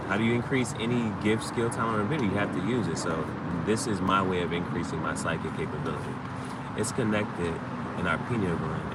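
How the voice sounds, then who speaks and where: A man hosting a casual tarot livestream, talking in a rambling, digressive way and repeating his phrases. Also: sits low. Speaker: tarot reader and host. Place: outdoors under a tree. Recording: distant mic outdoors, loud ambient noise.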